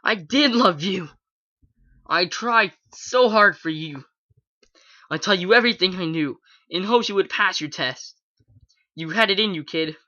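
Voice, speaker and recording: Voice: mid-range voice, normal speed, fairly bright tone, lo-fi sound, natural voice. A reader voicing a villainous adult mare pegasus who gloats and rages with contempt in a fan fiction reading. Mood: furious. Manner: gloating, then screaming with contempt.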